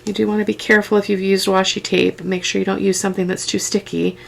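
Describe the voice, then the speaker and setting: Middle-aged woman, head cold, croaky and fry-heavy, chatty step-by-step crafter with faint background hiss. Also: medium pitch, flat delivery, quick pace. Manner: chatty step-by-step instructions with asides. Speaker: craft vlogger. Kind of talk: casual crafting tutorial.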